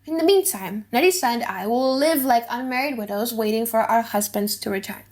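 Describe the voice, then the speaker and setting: A woman's voice: on the high side, brisk, darkish tone, natural voice. A woman voicing a loving, wealthy young noblewoman in an audiobook play, commanding and reassuring. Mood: brisk, loving. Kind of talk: dramatic audiobook reading of a play.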